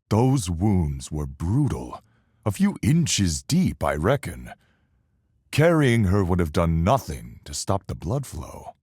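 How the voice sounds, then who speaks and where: A man voicing a proud, blunt seafaring character in a video game, with gruff, hearty sailor talk. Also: low voice, dry recording, clean studio sound, heavily acted. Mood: grim, musing. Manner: hearty sailor talk with aye, lass, mate. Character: boastful, proud seafaring man, blunt but honest, in murder trial.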